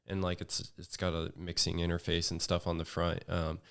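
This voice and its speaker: A man with a deep, clean, resonant voice talks as a guest in a relaxed home podcast chat. His delivery is flat and monotone, and he stops and restarts often with plenty of fillers. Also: vocal fry. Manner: halting, many fillers and restarts. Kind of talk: casual chat.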